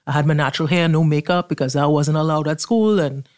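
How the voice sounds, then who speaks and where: A middle-aged woman from Trinidad whose speech carries a careful, studied American accent. She sounds polished and measured, and she laughs easily as she chats with a friend on a podcast. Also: sits low, steady tone, a little mellow. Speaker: guest author. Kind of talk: casual podcast conversation.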